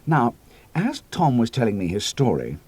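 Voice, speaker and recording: Husky, expressive male narrator. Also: medium pitch, no room sound. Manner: measured, expressive storytelling. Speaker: audiobook narrator. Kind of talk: scripted narration.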